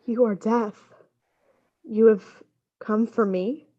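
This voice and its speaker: Obsessed lady scientist in a radio play, kinda high voice, intense n earnest, formal wording, slightly muffled. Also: steady tone, takes it slowly. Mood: tense. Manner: earnest, dramatic, formal diction. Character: intense, obsessive woman scientist dabbling in dark arts. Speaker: voice actor.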